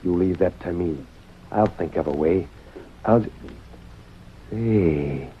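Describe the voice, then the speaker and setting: An actor playing a cunning, bossy Old West outlaw ringleader in an old-time radio western, with an American cowboy drawl. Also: male voice, low voice, slow, slight background noise. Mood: scheming, menacing. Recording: muffled old radio broadcast recording.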